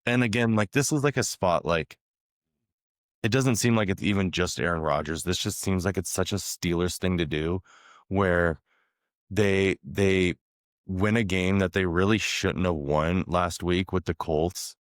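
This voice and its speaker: A man co-hosting a sports podcast, laid-back in casual chat, his voice slightly raspy from a cold. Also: deep voice, unhurried, no echo, clean studio sound. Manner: laid-back, reads out stats. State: coming down with a cold. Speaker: podcast co-host.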